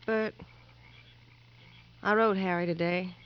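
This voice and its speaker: A woman's voice: lowish voice, steady tone, slow, clear, resonant tone. An actress playing a restless, coaxing young married woman pleading with her lover in a 1950s radio drama. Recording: dull, faintly noisy 1950s radio recording.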